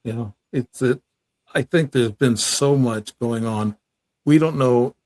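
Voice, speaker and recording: A man hosting an interview show, chatting casually and putting questions to his guest in a tentative, halting way. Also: mid-range voice, a little mellow, dry recording.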